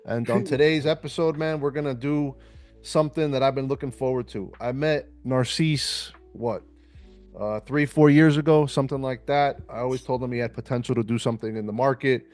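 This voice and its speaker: A man's voice: medium pitch, fairly quick. Easygoing guy hosting a podcast, keeps saying man, light music behind. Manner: relaxed, hypes up the guest, says "man" a lot. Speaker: podcast host and trading mentor. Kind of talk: casual interview chat.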